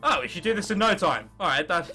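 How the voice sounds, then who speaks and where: A man's voice: high-pitched, big pitch swings, fast talker, vocal fry, sharp tone, faint music behind. A young man of about nineteen with an English accent, giving casual, jokey gaming commentary and reading game text aloud. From England. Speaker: gaming video host.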